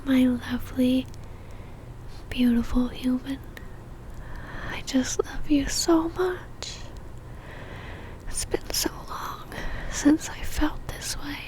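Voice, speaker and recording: A possessive female vampire, acted by a woman, whispers in an intimate ASMR roleplay. Her voice is high and unhurried, teasing and seductive. A soft menace sits under every obsessive, adoring word. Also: low-quality recording.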